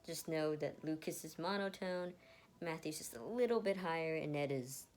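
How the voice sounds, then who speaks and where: A woman with a slightly low voice reads a story aloud as an amateur. She stumbles now and then, rereads the phrase, and slips into casual asides. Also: moderate pace, a little mellow. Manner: stumbles over words and rereads. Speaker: reader of fan fiction.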